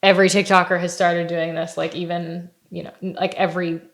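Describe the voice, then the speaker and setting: Low-toned chatty woman co-host. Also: little pitch movement, a little fast. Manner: chatty, uses like a lot. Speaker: podcast co-host. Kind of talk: casual chat.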